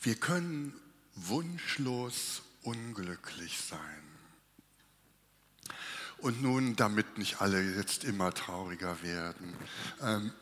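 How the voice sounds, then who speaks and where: A man preaching a sermon with a cold, speaking slowly and reflectively with pauses. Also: fairly low pitch, lively intonation, fairly bright tone. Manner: slow, reflective, with pauses and restarts. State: has a cold, coughing. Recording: long hall reverb.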